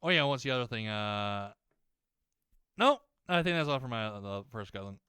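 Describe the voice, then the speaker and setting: A young man ranting while playing a game, rambling, swearing a lot and often restarting his sentences, his voice slightly hoarse as it warms up. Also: mid-range voice, expressive, normal speed, dry recording, studio quality. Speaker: gaming YouTuber.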